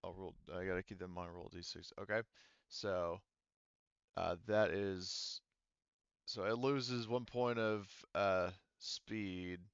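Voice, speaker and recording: A man acting as game master of a tabletop roleplaying game, explaining rules haltingly as he thinks aloud. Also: low-pitched, fairly even intonation, clear, resonant tone, dry recording, dull audio.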